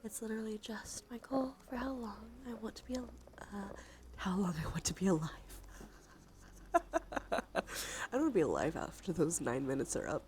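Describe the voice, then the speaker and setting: A woman with a stuffy nose chatting softly and sleepily on an ASMR livestream, casual and playful, in whispers and quiet talk. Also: medium pitch, expressive, fairly quick, slight background noise. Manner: soft, breathy whispering mixed with quiet talk; playful, casual, swears freely. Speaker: live streamer.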